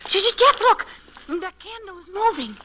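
An excitable high-voiced radio wife. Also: female voice, moderate pace, exaggerated voice. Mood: alarmed. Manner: eager, theatrical delivery. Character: excitable, curious young wife, devoted and easily alarmed. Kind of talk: 1940s radio mystery-comedy acting. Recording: noisy vintage radio broadcast recording.

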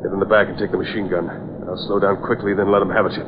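Actor as a low-voiced ruthless gangster. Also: male voice, level tone, very fast, natural voice. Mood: urgent, ruthless. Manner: commanding, menacing. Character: ruthless, bossy male gangster henchman, blaming and cold. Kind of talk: 1940s radio acting. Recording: noisy muffled radio recording, car engine behind.

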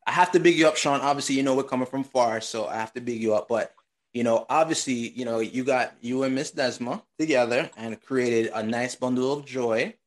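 A man's voice: medium pitch, fairly quick. A man hosting a casual podcast, hyping up his guests and asking questions with lots of "you know" fillers. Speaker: podcast host.

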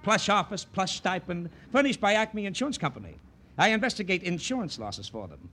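An actor playing a boastful, needling investigator, loud and falsely jovial, in an old radio drama. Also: male voice, high-pitched, sing-song, brisk, exaggerated voice. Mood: smug gloating. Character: smug, needling, boastful male insurance investigator. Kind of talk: 1940s-50s radio drama acting.

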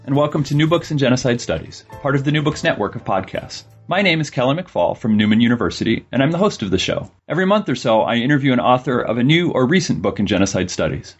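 A man's voice: mid-range voice, big pitch swings, quick pace, hardly any pauses, muffled recording, faint music behind. A man hosting an interview podcast, reading a formal scripted introduction and asking questions. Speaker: podcast host.

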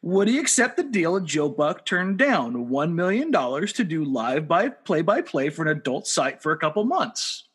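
A man co-hosting a casual podcast, putting listener questions in a halting way and repeating words as he starts. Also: on the high side, very animated, a bit bright, slightly rough. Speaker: podcast co-host.

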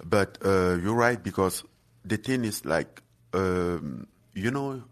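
Deep voiced cameroonian guy, lots of uh and like, earnest chat. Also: lively intonation. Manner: halting, many fillers and restarts, earnest. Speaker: guest fighter. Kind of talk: casual interview chat.

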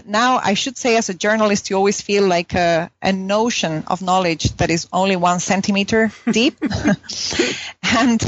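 A woman's voice: mid-range voice, no echo, dull audio. A woman from southern Chile, a native Spanish speaker with a Chilean accent, talking conversationally as an academic interview guest. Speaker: academic guest, philosophy professor. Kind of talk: conversational interview, telling own story.

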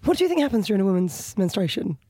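A woman with a raspy voice, co-hosting a podcast in playful, animated chat. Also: medium pitch, very fast, no echo, clean studio sound. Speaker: podcast co-host. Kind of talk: casual comedic chat.

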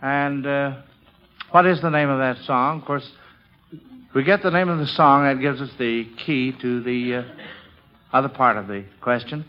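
A man's voice: medium pitch, normal speed, pauses often. A man hosting a 1940s radio quiz show, coaxing children along in an avuncular way and often repeating himself. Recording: dull, band-limited vintage radio recording.

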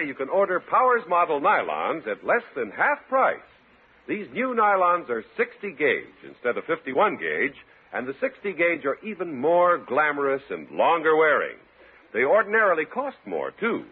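An American man announcing a 1950s radio show and its sponsor pitch in a scripted style. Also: breathy edge. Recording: narrow-band old radio recording over music.

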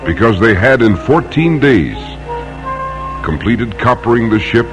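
A man narrating a 1950s radio drama in a measured, declamatory style, on an old broadcast recording. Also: medium pitch, very animated. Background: loud orchestral music.

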